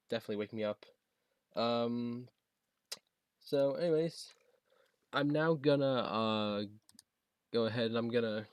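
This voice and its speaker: A man talking casually and haltingly, thinking aloud as he demonstrates a gadget he is setting up. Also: medium pitch, darkish tone, slight background noise. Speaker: product reviewer demonstrating a gadget. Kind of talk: casual unscripted unboxing and setup demo.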